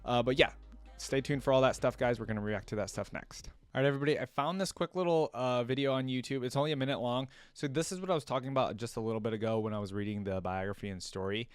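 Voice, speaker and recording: A man's voice: medium pitch, fast talker, clean tone, no room sound, faint music behind. Casting: male reaction host, mid-range voice, informal and enthusiastic fan commentary, dry recording. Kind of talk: casual fan commentary alternating with story read-aloud.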